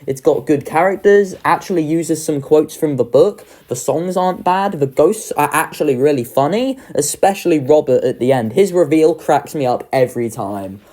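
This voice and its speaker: Animated young reviewer, very varied pitch. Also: normal speed, few pauses. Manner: informal, swearing, enthusiastic. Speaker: video creator ranking children's pantomimes. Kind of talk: casual review ranking commentary.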